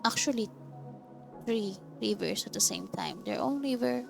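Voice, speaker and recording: A woman's voice: medium pitch, big pitch swings, normal speed, gravelly, music in the background. A woman giving casual, reactive game playthrough commentary, musing aloud as she goes. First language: Filipino. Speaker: gaming video creator.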